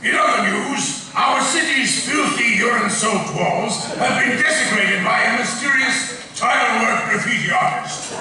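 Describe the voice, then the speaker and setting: Menacing cartoon monster anchor, pompous, very high pitch, echoey room. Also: male voice, a little slow, hardly any pauses. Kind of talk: animated comedy table read performed live. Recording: echoey hall, distant mic, noisy.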